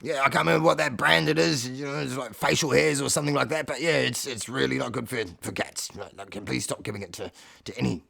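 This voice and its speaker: Guy putting on a grumpy fussy tomcat, gravelly, scolding and sweary, says stuff twice. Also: medium pitch, quick pace, bright, forceful tone, pitched below the performer's own voice, exaggerated voice. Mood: nagging. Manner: blunt, scolding, repeating himself. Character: grumpy, indignant male three-legged cat, fussy and sweary.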